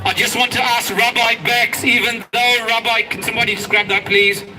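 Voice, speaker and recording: A man hosting an outdoor protest rally, with a big loud voice. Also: extremely high pitch, level tone, a little fast. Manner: loud, self-described big voice, leads shouted chants. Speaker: rally host. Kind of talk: rally compering and chanting. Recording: open-air rally from far off, music and crowd.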